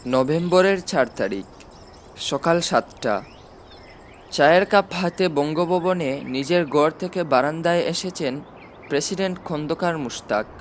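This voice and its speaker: A man's voice: a little high, measured pace, a little hiss or hum. A man reading a novel aloud as a scripted audiobook narration. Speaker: audiobook narrator and channel host.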